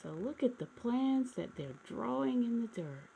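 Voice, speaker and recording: An animated woman reads a children's picture book aloud as a story time host. Her husky voice slips into creak and swings widely in pitch, and she takes her time, pausing to put questions to her young listeners. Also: slight background noise. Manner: animated, asks listeners questions, dramatic pauses.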